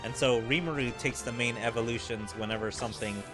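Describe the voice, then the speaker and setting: A man's voice: mid-range voice, a little fast. Man rambling through casual game commentary, music behind. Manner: rambling, hesitant explanations peppered with "like". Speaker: gaming streamer.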